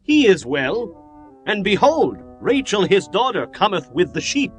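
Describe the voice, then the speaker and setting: A man's voice: high-pitched, sing-song, unhurried, faint music behind. A man voicing a matter-of-fact herdsman at a well in a dramatized scripture reading. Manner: plain, matter-of-fact, archaic diction.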